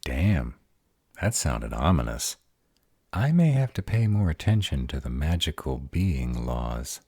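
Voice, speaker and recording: Man playing a very deep, grumbly were-dragon. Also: big pitch swings, unhurried, darkish tone, slightly rough, no room sound, studio quality, exaggerated voice. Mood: distracted, grumbling. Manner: grumbling, conversational asides. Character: grumbly, smitten male were-dragon, easily distracted. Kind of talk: audio roleplay fantasy drama.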